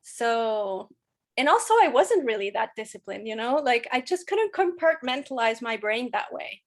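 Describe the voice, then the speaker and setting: A woman's voice: on the high side, expressive, moderate pace. A woman from Chile in her thirties, speaking as a podcast guest. She talks candidly and at length, often restarting with "you know". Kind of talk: casual interview conversation.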